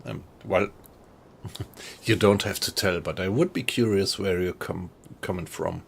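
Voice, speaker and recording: A middle-aged man from Germany with a German accent, chatting in a friendly, halting way with viewers on a live stream. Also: low-pitched, vocal fry, a little hiss or hum. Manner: thoughtful, friendly, halting with fillers.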